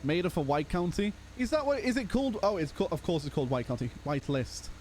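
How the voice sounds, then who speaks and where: A hoarse young man, chatting casually and laughing as he streams a roleplay game. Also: on the high side, very animated, quick pace, a little mellow, a little hiss or hum. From Liverpool, England. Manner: chatty, laughs often, calls people brother. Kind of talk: casual live-stream chat.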